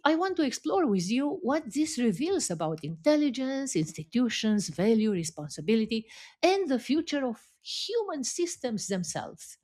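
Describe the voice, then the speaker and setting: Woman host, lively pitch, deliberate questions. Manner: measured, deliberate phrasing. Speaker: podcast host. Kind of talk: prepared interview questions.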